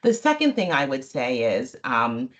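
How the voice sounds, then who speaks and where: A woman with a strong voice giving candid personal advice as a webinar panelist, in a deliberate, emphatic way. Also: a bass voice, huge pitch swings. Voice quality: loud, strong voice.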